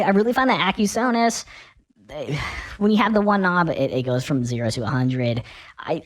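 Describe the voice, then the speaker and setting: A man voicing an oversized baby through a pitch-shifting voice changer, chatting casually. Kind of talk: casual demo chat.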